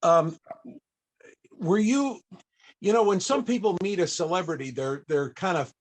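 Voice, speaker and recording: A man's voice: sits high, unhurried. A man hosting a panel interview over video call, asking questions in a hesitant, roundabout conversational way.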